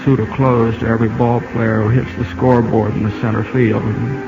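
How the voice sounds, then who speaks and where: An actor playing an earnest, easygoing young country ballplayer in a radio drama, halting and folksy in his talk. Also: mid-range voice, level tone, creaky, lightly acted. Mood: teasing, easygoing. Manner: halting, folksy, repeats himself. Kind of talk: 1950s radio drama acting. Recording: muffled 1950 radio broadcast, music behind.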